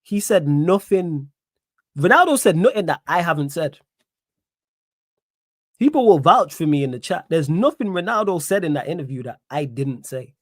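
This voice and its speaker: A young man with a strong Manchester accent talks back to his live chat, indignant and insistent, circling back to the same phrases again and again. His voice sits a little high for a man. The sound is dry and close, with no room around it. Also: animated, normal speed, no room sound. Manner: indignant, repeats phrases, reads chat aloud. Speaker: livestream host. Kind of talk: live stream chat reaction.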